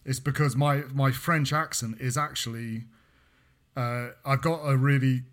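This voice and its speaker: A man's voice: medium pitch, steady tone, unhurried, fairly bright tone. A young man from England with an English accent, co-hosting a casual podcast chat and rambling through many restarts. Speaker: podcast co-host.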